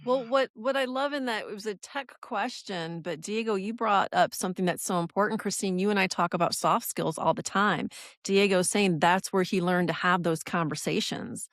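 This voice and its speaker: A woman co-hosts a podcast and stresses the main points of the talk. Her voice has a gravelly fry and sits mid-range. The recording is close and dry. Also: mid-range voice, normal speed, no echo. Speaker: podcast co-host. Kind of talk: podcast interview.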